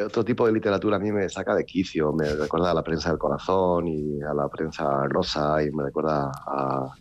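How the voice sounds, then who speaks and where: Very deep-voiced man, wry and rambling. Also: brisk, muffled recording, slight background noise. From Basque Country, Spain. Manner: rambling, many restarts and fillers, wry. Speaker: author. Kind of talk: relaxed interview talk.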